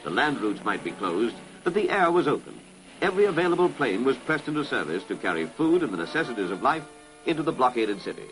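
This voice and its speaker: British man narrating a 1960s newsreel, animated pitch. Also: quick pace, low-quality recording. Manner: formal, authoritative delivery. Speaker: documentary narrator. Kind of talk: 1960s public information film narration. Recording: vintage 1960s film soundtrack, music behind.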